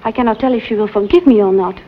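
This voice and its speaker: An actress playing a trusting, flustered young French teacher with a French accent, speaking breathily and politely in a vintage drama. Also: female voice, mid-range voice, fast talker, close to an everyday voice. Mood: anxious. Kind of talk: vintage television drama acting. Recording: dull lo-fi old soundtrack.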